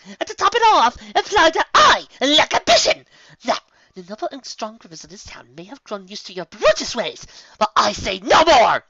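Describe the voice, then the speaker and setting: A refined, fierce unicorn mare from a fantasy storybook, acted out by a woman, proud and haughty. The voice sits high and swings dramatically, with emphatic delivery and a bright edge. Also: no room sound, muffled recording, heavily acted.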